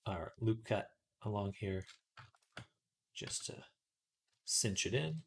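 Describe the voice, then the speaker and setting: Low-voiced, lively man livestreaming at work. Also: slow, gravelly. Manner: mutters to himself, then addresses viewers brightly. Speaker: livestream host, 3D artist. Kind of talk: casual livestream tutorial chat while working. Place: indoors at a computer desk.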